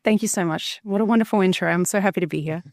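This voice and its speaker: A woman's voice: medium pitch, rapid-fire, a little mellow, dry recording, clean studio sound. A woman, an articulate podcast guest telling a personal story conversationally and fluently. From Australia, born in Bulgaria.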